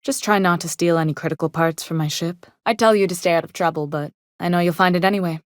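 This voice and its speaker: An actress playing a capable, no-nonsense chief engineer and station captain in a video game, with a measured, dry, businesslike manner. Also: female voice, on the low side, fairly even intonation, dry recording, lightly acted. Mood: wry, stern. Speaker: game character voice. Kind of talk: video game character dialogue.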